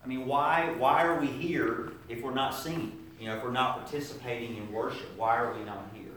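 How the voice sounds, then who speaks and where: A middle-aged man preaches in a relaxed, conversational sermon, often starting over and filling pauses as he thinks aloud. His voice sits at an ordinary pitch. It reaches the mic from some distance and rings through a very reverberant church room, with a faint ambient hum underneath. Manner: conversational, halting, many restarts and fillers. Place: church sanctuary.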